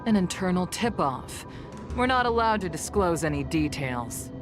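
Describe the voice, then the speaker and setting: A woman's voice: fairly low pitch, big pitch swings, normal speed, over music. A woman playing a stern female police captain in a video game, formal and firm.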